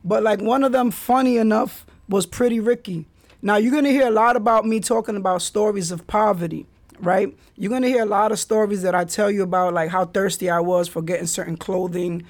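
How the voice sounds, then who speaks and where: A man's voice: high voice, steady tone, fairly quick. A man hosting a podcast, telling stories in a laid-back way with street slang. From the Bronx, New York. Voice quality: raspy. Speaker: podcast host. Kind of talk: casual solo podcast storytelling.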